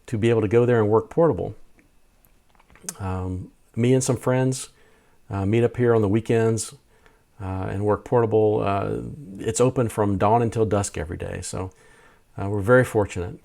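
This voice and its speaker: A man's voice: lowish voice, darkish tone. A man narrating a casual hobby vlog, explaining things step by step in a plain-spoken way. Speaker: amateur radio video host.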